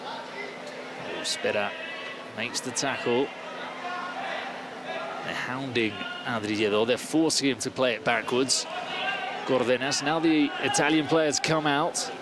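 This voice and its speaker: A man from Spain with an English accent giving measured, analytical play-by-play football commentary. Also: mid-range voice. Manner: measured, analytical calling of the action. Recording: loud crowd and stadium noise.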